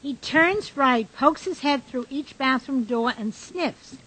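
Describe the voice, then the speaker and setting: A woman narrates a radio drama in a high-pitched voice. She reads scene settings and stage directions as scripted narration. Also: slow pace, fairly bright tone, husky, low-quality recording.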